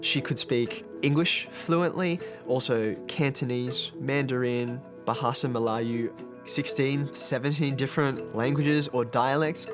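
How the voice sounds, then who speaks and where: Brief: man, teacher, reflective documentary interview, soft music underneath. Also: mid-range voice, a little slow. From Australia. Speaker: maths teacher. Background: background music.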